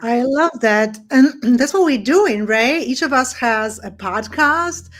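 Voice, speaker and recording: A woman's voice: sits high, animated, slow pace. A woman who is a native Polish speaker with a Polish accent, talking conversationally about her own experiences as a podcast guest. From Poland. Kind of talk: conversational personal storytelling.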